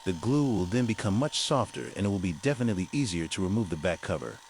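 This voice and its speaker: A man's voice: low voice, expressive, quick pace, darkish tone, a little hiss or hum. A man giving scripted instructional narration, guiding the listener carefully through each step. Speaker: repair tutorial narrator.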